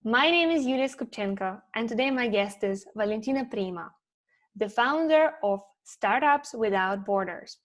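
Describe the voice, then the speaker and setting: A woman with a fairly high voice works as an interview host. She speaks in the manner of someone presenting a series and putting questions to a guest. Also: expressive, normal speed. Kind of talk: interview hosting, scripted intro then conversational questions.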